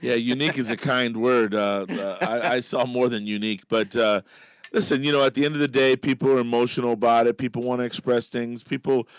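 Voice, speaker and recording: Smooth-voiced middle-aged man, halting and emphatic. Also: medium pitch, fairly even intonation, no echo. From Miami. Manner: halting, many fillers and restarts, emphatic. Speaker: radio guest, business leader. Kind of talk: casual radio interview. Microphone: studio microphone.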